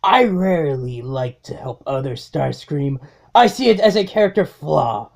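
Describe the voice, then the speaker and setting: A woman playing a cold, contemptuous robot warlord in a comic dub, speaking with slow, grandiose menace. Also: sits low, expressive, vocal fry, darkish tone, pitched below the performer's own voice, full character voice. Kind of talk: comic dub dramatic acting.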